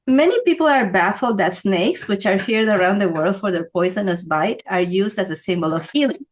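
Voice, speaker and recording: A woman from Ecuador gives a relaxed, conversational lesson. She explains things at an unhurried, talky pace and keeps checking in with a quick "right?". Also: mid-range voice. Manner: conversational, asks "right?" often. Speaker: feng shui teacher. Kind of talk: explanatory talk to camera.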